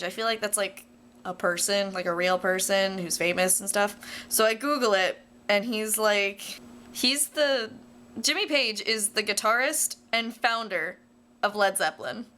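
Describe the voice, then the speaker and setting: A woman's voice: medium pitch, pushed and bright, slight background noise. A young American woman talking casually to viewers like a video diary, rambling in a playful, self-deprecating way. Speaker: vlogger.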